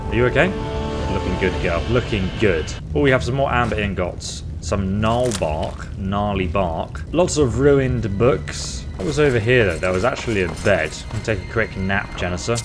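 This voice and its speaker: A man gives casual commentary on a game he is playing. His voice sits on the low side and his delivery is playfully theatrical. Game music is clearly heard behind him. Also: expressive, moderate pace. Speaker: gaming video host. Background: game soundtrack.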